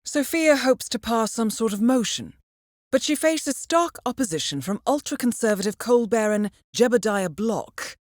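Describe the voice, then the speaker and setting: An actress playing a composed, shrewd intelligence handler in a video game, briefing an assassin in a measured, formal way with dry understatement. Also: female voice, on the high side, animated, a little mellow, dry recording, natural voice. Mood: composed, informative. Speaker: mission handler guiding an assassin. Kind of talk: scripted video game voice acting, briefing narration.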